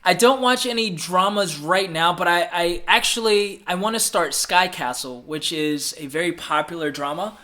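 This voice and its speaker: A man's voice: high-pitched, moderate pace, hardly any pauses, sharp tone. A man in his thirties hosting a casual live Q&A, chatty and upbeat, reading viewer comments and often repeating himself. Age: 30s. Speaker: livestream host answering viewer questions.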